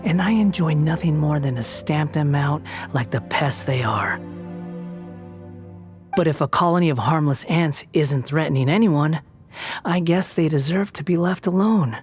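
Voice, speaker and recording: An actor playing an aloof, proud young wandering god in a video game, speaking with a cool, dry, mocking delivery. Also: male voice, a little high, expressive, measured pace, soft background music. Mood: scornful. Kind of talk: video game cutscene dialogue.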